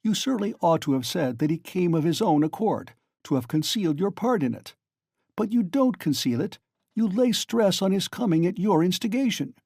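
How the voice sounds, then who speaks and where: A man's voice: sits high, lively intonation, moderate pace, a little mellow, slightly rough, no echo, studio quality, pitched above the performer's own voice, heavily acted. A man voicing a sly, genial middle-aged male magistrate who questions in a wheedling, insinuating way.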